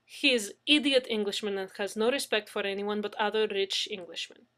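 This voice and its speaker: Woman doing a blunt fierce lady, bright and forceful. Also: medium pitch, normal speed, close to an everyday voice. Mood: vehement. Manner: blunt, broken English.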